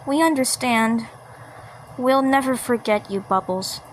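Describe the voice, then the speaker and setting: A woman's voice: on the high side, measured pace, close to an everyday voice, poor audio. A woman voicing sweet yet menacing candy creatures in a horror cartoon fan dub. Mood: sweetly menacing. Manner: sweet, disturbing, repeating. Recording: faint background noise.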